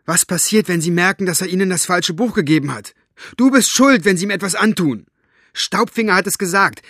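A man voicing a frantic, stubborn young girl who bursts out loudly and pleads. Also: on the high side, moderate pace, slightly rough, no room sound, studio quality. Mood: upset, accusing. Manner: loud, pleading, breathless outbursts.

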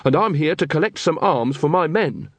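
A man's voice: fairly high pitch, animated, moderate pace, husky, no room sound. A man reading an audiobook, voicing an earnest, polite young male corporal.

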